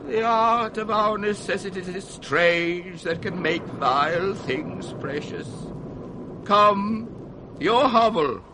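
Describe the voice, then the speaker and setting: An actor playing an aging, tormented king sliding into madness, with a grand British declamatory delivery in a Shakespearean radio play. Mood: broken, tender. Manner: grand, declamatory, slow and weighty. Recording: noisy 1960s radio recording, storm-like rumble.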